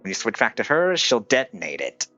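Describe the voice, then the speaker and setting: A man chatting casually on a game livestream and giving advice in a hesitant, halting way. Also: lowish voice, wildly swooping pitch, bright, forceful tone, muffled recording. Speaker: game live streamer. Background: game music.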